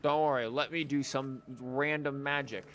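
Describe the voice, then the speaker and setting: A man's voice: medium pitch, steady tone, moderate pace, a bit bright, slight background noise. A man giving casual, offhand reaction commentary as a game streamer, with a wry manner. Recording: game music behind the voice.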